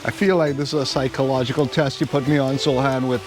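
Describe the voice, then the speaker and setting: A man's voice: mid-range voice, fairly even intonation, fast talker. Enthusiastic male vlogger, music behind. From Trinidad. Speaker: travel vlog host. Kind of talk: casual travel vlog narration.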